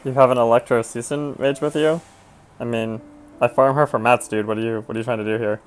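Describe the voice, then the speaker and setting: A man's voice: mid-range voice, fairly even intonation, fairly quick, clear, resonant tone. A man streaming a video game with slangy, offhand live commentary. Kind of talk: casual live gameplay commentary. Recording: game music behind the voice.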